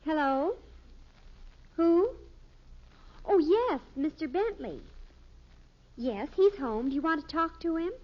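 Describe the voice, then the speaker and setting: High, dramatic American teenage girl. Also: animated, slow pace, long pauses. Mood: brightly polite. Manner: emphatic, exclamatory. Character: indignant, scheming, dramatic teenage daughter. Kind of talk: 1950s American radio sitcom acting. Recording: muffled old radio recording.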